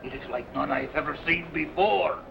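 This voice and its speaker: An actor playing a gruff, obedient Spanish sailor with a gravelly voice, terse and theatrical, in an old adventure serial. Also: male voice, a little high, normal speed, noisy background. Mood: puzzled. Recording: muffled old soundtrack with music behind.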